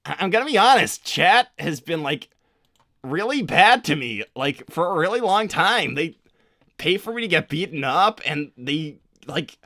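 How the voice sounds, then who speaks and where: A man's voice: fairly high pitch, pushed and bright, dry recording, studio quality, full character voice. A man voicing a flustered, insecure young cartoon hedgehog hero, stammering and hesitant, in an improvised roleplay. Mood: dejected, wavering. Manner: stammering, hesitant, self-deprecating. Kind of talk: improvised in-character livestream roleplay.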